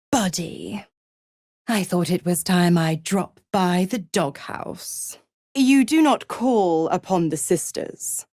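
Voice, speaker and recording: A woman's voice: medium pitch, darkish tone, no echo, studio quality. An actress voicing a gloating, seductive female devil in a video game, with a mocking, theatrical, condescending drawl. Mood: ominous. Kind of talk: video game character acting.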